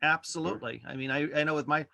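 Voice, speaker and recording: A man chatting casually as a podcast guest, halting and rambling as he corrects himself. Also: a little high, creaky, sharp tone, no room sound. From Worcester, United States. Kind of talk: casual interview chat. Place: virtual studio online call.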